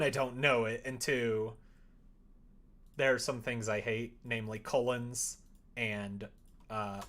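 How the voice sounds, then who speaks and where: A man chatting casually on a livestream as he codes, rambling in an animated, opinionated way. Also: mid-range voice. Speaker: live-streaming programmer. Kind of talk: casual livestream chat while coding.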